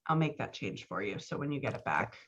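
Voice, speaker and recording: A woman's voice: extremely low pitch, big pitch swings, a little fast, husky, highs cut off. A woman giving a conversational manuscript critique as a writing coach, explaining and thinking aloud. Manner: explanatory, thinking aloud, often checking with "right?". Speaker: writing coach and podcast host.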